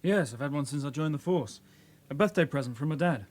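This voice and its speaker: A young detective constable in a radio drama. The voice is a little higher than most men's, polite and reserved, answering briefly as a new sidekick would. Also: male voice, animated, a little fast, darkish tone. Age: 20s.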